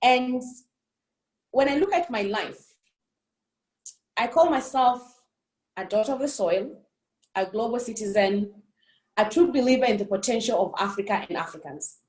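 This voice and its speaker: A woman's voice: on the high side, animated, normal speed, long pauses, a little mellow, roomy sound, low-quality recording. A woman from Burundi giving a passionate conference keynote, earnest and repeating phrases for emphasis. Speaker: keynote speaker. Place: on a remote call.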